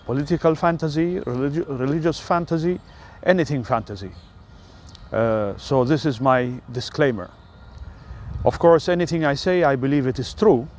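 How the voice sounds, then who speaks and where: An older man with an Italian accent, an Italian native speaker, talking through a rambling personal monologue with many filler sounds and pauses. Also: mid-range voice, a little mellow, a little hiss or hum.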